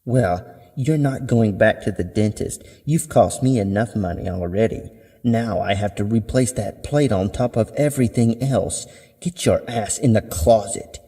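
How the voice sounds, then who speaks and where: Man playing a curt, contemptuous, husky mother. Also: lowish voice, a little slow, mellow, big-room sound. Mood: furious. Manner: curt, scolding. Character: harsh, contemptuous large mother of a teenager. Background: music.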